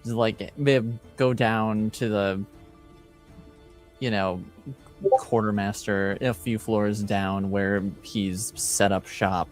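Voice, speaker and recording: Slow, halting male game master. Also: fairly low pitch, steady tone, faint music behind. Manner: hesitant, halting phrasing. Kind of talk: improvised tabletop game narration.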